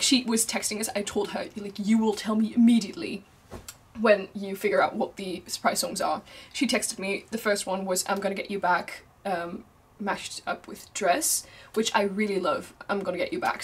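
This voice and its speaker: A young woman whose first language is Dutch, gushing in a casual vlog chat, laughing often, her voice strained from screaming. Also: medium pitch. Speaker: vlogger.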